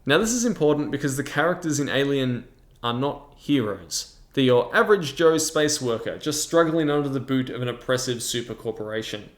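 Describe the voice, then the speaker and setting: A man presents a review as the host, explaining things in a measured way with mid-range pitch. His narration sounds semi-scripted, and the room adds a clear echo around his voice. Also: expressive. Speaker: host of a tabletop game review channel. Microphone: large microphone in front of him. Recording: echoey, reverberant room.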